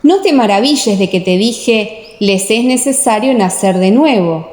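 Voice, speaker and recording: A woman's voice: mid-range voice, lively intonation, a little slow, a little mellow, reverberant, big-room sound, lightly acted. A woman voicing a solemn, authoritative male religious teacher with emphatic delivery. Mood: solemn teaching.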